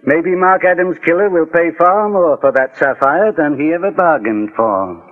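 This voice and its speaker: An actor playing a composed, authoritative American private investigator in a 1940s radio drama, speaking formally and deliberately. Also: male voice, fairly high pitch, expressive, narrow, tinny audio, close to an everyday voice. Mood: firm, confident. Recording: thin, band-limited 1940s radio recording.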